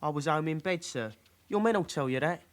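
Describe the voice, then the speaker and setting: Bloke acting young lad getting grilled by police, nervous, highish swooping voice, polite but cagey, radio play. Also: male voice, animated, moderate pace, natural voice. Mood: nervous, evasive. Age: young adult. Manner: guarded, deferential, repeating "sir". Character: nervous, evasive young London lad under police questioning.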